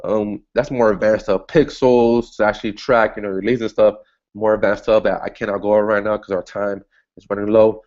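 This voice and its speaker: A man's voice: lowish voice, flat delivery, brisk, darkish tone, muffled recording. A man casually walking through an online ad setup, thinking aloud as he clicks, with frequent restarts and upbeat asides. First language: English. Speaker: online marketing instructor. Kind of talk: casual screen-share tutorial.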